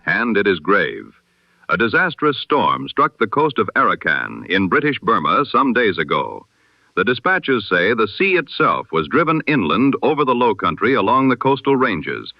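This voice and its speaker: A man reading the day's news as a formal, measured 1920s radio bulletin. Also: fairly low pitch, dry recording. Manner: formal, measured, rhetorical delivery. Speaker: newsreader. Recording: dull lo-fi radio-style sound.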